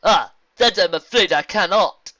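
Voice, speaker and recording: Woman voicing a wise, deliberate zebra sorceress. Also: fairly low pitch, pushed and bright, dry recording, muffled recording, voice pushed up. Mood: solemn. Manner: deliberate, formal rhymed phrasing. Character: wise calm zebra sorceress speaking in rhyme.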